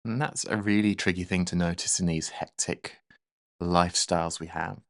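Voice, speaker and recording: Low male voice, really slow n gentle, guided meditation, dry close sound. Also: steady tone, vocal fry, no room sound. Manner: gentle, unhurried, soothing delivery.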